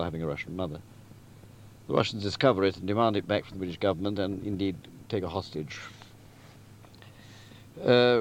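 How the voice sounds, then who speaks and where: A man giving a deliberate, emphatic and articulate spoken summary of a story, like a novelist outlining a book. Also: deep voice, a little hiss or hum. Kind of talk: spoken plot summary, literary talk.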